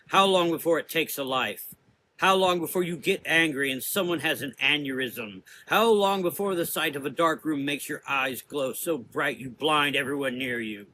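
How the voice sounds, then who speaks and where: A man playing a menacing, cerebral gothic sorcerer in an audio drama, lecturing deliberately in drawn-out, taunting phrases. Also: medium pitch, bright, forceful tone, a little hiss or hum, lightly acted, low-quality recording. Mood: threatening.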